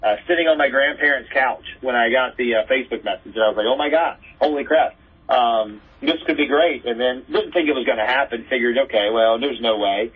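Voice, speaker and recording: A man in his thirties, a play-by-play announcer giving casual interview answers. His voice is rough-edged and mid-pitched, heard through a narrow, muffled phone-like connection. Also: brisk. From Raleigh area, North Carolina. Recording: muffled telephone-like line.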